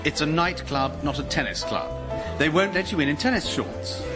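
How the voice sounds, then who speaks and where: An actor playing a pedantic, sensible sidekick in a radio sitcom, given to correcting others expressively. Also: male voice, a little high, big pitch swings, measured pace, over music. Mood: exasperated. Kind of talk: studio sitcom acting.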